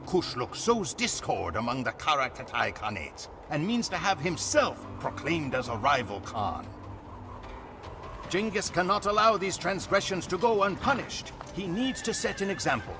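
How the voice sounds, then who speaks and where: A man's voice: on the high side, very animated, moderate pace. An actor playing a proud, grim Mongol warrior who chronicles his Khan's wars in a theatrical, solemn game cutscene narration. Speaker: campaign narrator. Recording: music under the voice. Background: music.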